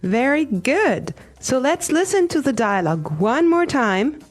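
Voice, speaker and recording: A woman co-hosts an English lesson in a cheerful, clear way, explaining each phrase for learners. Faint music plays softly behind her voice. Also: high voice, sing-song, measured pace. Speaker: podcast co-host, English teacher.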